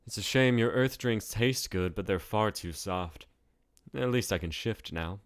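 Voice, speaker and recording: A man's voice: lowish voice, unhurried, smooth, voice pushed up. A man voicing a mischievous alien shapeshifter woman in a story, with a brash, teasing, playful delivery.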